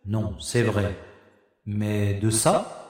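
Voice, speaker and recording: A man playing a wary, slow-spoken companion. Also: lowish voice, lively intonation, a little mellow. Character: cautious male companion of the explorer. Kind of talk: audio drama acting. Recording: echoey hall reverb.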